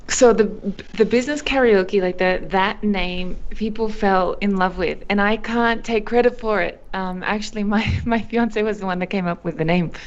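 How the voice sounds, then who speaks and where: A young woman from Australia answering interview questions, animated, with frequent fillers and restarts. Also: mid-range voice, moderate pace, hardly any pauses, dull audio. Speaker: guest, company founder. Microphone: video call.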